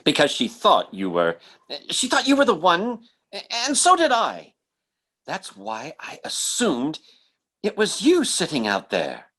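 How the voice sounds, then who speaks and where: An actor playing an earnest, insistent telepathic stage mentalist in a radio mystery, speaking in a dramatic, halting, emphatic way. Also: male voice, a little high, wildly swooping pitch, fairly bright tone, breathy edge, exaggerated voice. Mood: earnest, pleading. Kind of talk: old-time radio mystery drama acting.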